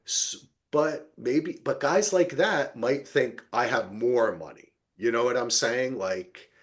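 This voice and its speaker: A man's voice: mid-range voice, slow. A man hosting a casual TV recap podcast, chatting informally and animatedly as he talks through the show and listener comments. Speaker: podcast host.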